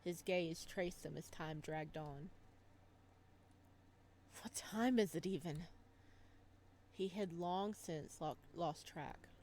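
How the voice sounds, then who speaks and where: A woman hosting a visual novel let's play, playful and expressive as she chats and reads aloud. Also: lowish voice, slow pace, long pauses, slight background noise. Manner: playful, expressive reactions, addresses viewers. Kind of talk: visual novel playthrough, chatty commentary and read-aloud narration.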